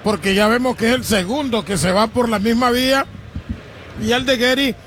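A man with a high voice breaks down a pitcher's approach for radio listeners. His explanation is measured and steady, with faint noise behind him. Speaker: color commentator. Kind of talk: baseball radio analysis.

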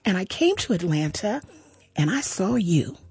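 A woman's voice: mid-range voice, normal speed, husky, faint music behind. A woman hosting a radio fundraiser, backing her guest with lots of mm-hmms and chatting in an upbeat way. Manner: affirming, lots of mm-hmms, lively delivery. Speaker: radio host. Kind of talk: casual radio chat.

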